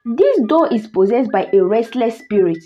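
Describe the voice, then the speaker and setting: A woman's voice: a little high, lively intonation, moderate pace, narrow, tinny audio, soft background music, lightly acted. Woman playing grave elderly Nigerian village healer. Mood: grave warning.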